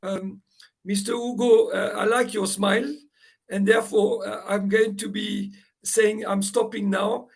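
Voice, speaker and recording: A Moroccan man with a Moroccan accent speaks haltingly as a panel respondent, catching and correcting his own words. His voice sits high, with a husky, gravelly grain. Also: flat delivery. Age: older. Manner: halting, apologetic, self-correcting. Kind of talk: formal remarks at a report launch.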